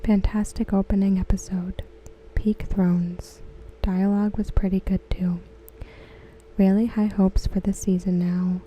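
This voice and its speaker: A woman reading aloud in a soft, breathy, near-whispered ASMR style, gentle and measured, close to the microphone. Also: medium pitch, little pitch movement, muffled recording, a little hiss or hum. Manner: gentle, hushed, measured delivery. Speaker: ASMR host. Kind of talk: softly spoken ASMR read-aloud of forum comments.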